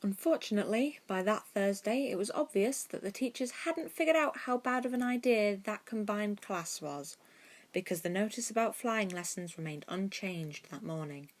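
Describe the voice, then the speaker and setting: A woman's voice: medium pitch, lively intonation, normal speed. A woman reading scripted fiction as a story narrator.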